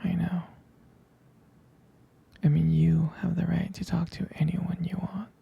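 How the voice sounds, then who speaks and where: A man playing a tender, protective, jealous boyfriend in an intimate whispered ASMR roleplay, breathy and hesitant, with gravelly fry. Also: fairly high pitch, level tone, narrow, tinny audio, exaggerated voice. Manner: slow, intimate, hesitant murmuring. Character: tender, protective, jealous young boyfriend comforting his partner.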